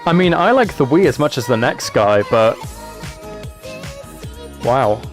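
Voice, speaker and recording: A man's voice: mid-range voice, very animated, unhurried, soft background music. A man live streaming, talking casually and a little hesitantly to his viewers as he reads chat and reacts.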